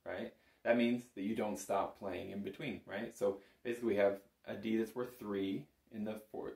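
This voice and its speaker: Low-voiced man in echoey room. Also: creaky, a little mellow. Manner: explanatory, often checking in with "right?". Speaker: bagpipe instructor. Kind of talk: informal music lesson to camera. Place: small indoor music room with instruments on the walls. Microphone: phone camera a short distance away. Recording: roomy echo, phone recording.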